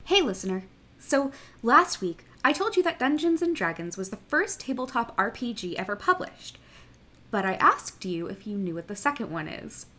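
A woman's voice: fairly high pitch, big pitch swings, moderate pace, bright, forceful tone. A woman presenting a chatty podcast segment in a playful, slangy way. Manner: playful, folksy slangy phrasing. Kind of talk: chatty pre-recorded trivia segment.

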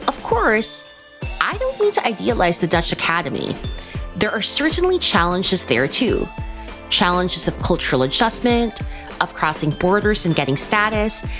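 A woman from the Philippines hosting a podcast interview, enthusiastic and expressive as she introduces her guest. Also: medium pitch. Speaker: podcast host. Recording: music bed behind voice.

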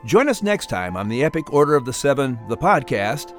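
A man's voice: medium pitch, big pitch swings, a little fast, soft background music. A man hosting a children's podcast as its announcer, reading scripted links and credits.

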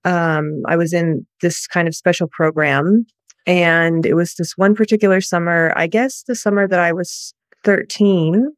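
A woman from the United States, telling her personal story as a guest in an interview, soft and reflective with many pauses. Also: fairly low pitch, fairly even intonation, no room sound, studio quality.

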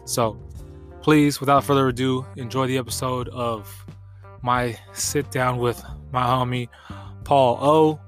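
A man's voice: medium pitch, steady tone, unhurried, faint music behind. A congested man hosting a podcast in casual, slangy, conversational talk. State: congested from allergies. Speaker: podcast host.

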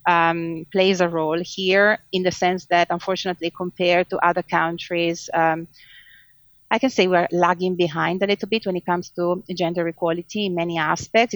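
Low-pitched Italian woman, dry close sound. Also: no room sound. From Italy. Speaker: podcast guest, marketing manager. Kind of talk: interview answers, conversational. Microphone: video call.

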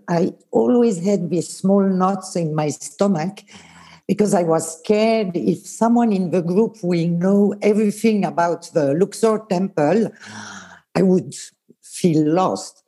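A woman's voice: on the low side, dark tone. A Swiss woman whose first language is Swiss German, reminiscing about her old work as a tour leader in a hesitant, casual way with many fillers. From Switzerland. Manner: hesitant, many fillers, laughs while telling stories.

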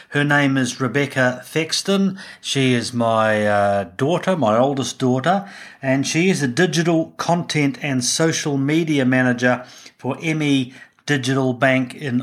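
A middle-aged man with a New Zealand accent hosts a business podcast. His voice sits at an ordinary, medium pitch, and he speaks as a presenter to listeners. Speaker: podcast host. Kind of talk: scripted intro and informal interviewing.

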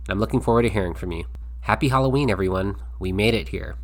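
Low-voiced guy hosting a chat podcast, lively, laughs a lot. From United States. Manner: animated, laughs often, talks in quick runs. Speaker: podcast host.

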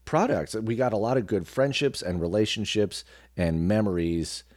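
A man speaking as an interview guest, reflecting in a thoughtful, measured way and picking his words haltingly. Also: lowish voice, expressive, a little mellow, no echo, clean studio sound. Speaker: guest, actor and comedian.

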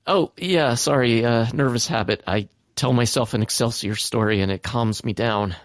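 A man playing a nervous, self-doubting high school boy hero in an audio drama, hesitant and stammering. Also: normal speed, dry recording, studio quality, lightly acted. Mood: nervous, doubtful.

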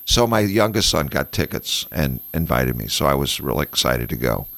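A man in his sixties co-hosting a radio talk show, hoarse from losing his voice, chatty and self-deprecating. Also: very deep voice, big pitch swings, moderate pace, a bit bright. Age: 60s. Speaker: radio co-host. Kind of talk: casual radio chat.

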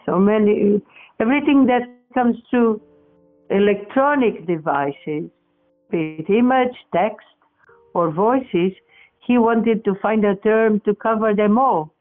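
A speaker from Portugal, answering interview questions in a halting way, often restarting and correcting words. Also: medium pitch. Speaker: experimenter in spirit communication. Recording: tinny phone-like audio, faint music.

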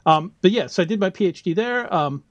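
A man's voice: high-pitched, animated, brisk, dry recording. American man explaining things, dry sound. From United States. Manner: explanatory, with frequent ums and laughs. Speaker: guest, biology lecturer. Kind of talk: casual interview talk.

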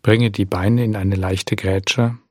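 A man guides a body scan meditation in a low, husky voice. He gives gentle, calm instructions and leaves long, unhurried pauses between them. The recording is dry and close, with no room sound. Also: dry recording.

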